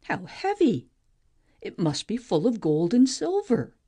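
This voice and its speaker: A woman acts out a restless, wondering young heroine of a mythic play. The voice is soft-edged and slightly husky, with very lively melody. She muses aloud, full of curiosity. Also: mid-range voice, unhurried, full character voice. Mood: wondering, eager. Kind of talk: amateur audiobook play reading, expressive.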